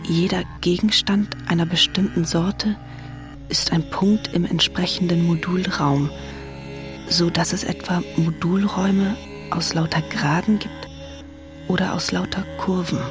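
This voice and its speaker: An actress playing a dreamlike inner voice in a radio drama, reciting poetic fragments in a measured, incantatory manner. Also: female voice, on the low side, steady tone, over music, close to an everyday voice. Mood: measured, contemplative.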